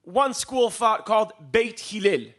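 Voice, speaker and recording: A man from Israel giving a live lecture as a storyteller, posing rhetorical questions and pausing for dramatic emphasis. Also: high-pitched, expressive, fairly bright tone. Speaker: public speaker, lecturer.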